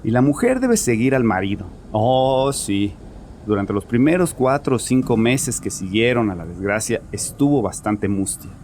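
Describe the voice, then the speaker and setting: An actor playing a troubled, chauvinist bereaved father confessing in an audio horror drama, with a haunted, halting manner. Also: medium pitch, very animated, a little mellow, a little hiss or hum, close to an everyday voice. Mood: proud, defensive. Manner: haunted, halting, repeats himself.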